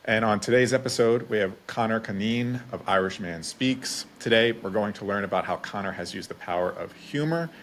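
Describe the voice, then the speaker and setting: A man's voice: on the low side, brisk, few pauses, a little hiss or hum. A man hosting a podcast interview in a conversational style.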